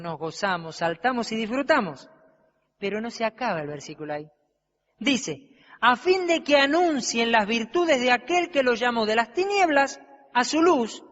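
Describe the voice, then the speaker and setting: A man's voice: extremely high pitch, a bit bright. A man preaching a sermon, emphatic and full of rhetorical questions, stretching out the words he stresses. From Argentina. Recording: dull, long reverb of a hall.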